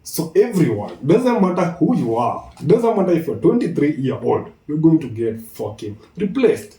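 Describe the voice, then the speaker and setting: A young Kenyan man speaks in a mellow tone from a little way off the mic, with strong room echo. He argues casually and opinionatedly, circling back over his points in English and Swahili slang. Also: a little high, very animated, moderate pace. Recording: echoey, noisy, distant from the mic.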